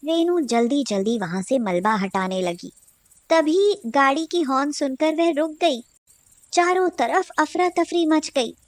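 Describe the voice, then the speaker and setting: A woman with a high voice narrates a scripted cartoon story. The sound is close and dry, with no room around it. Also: lively intonation, measured pace, no echo.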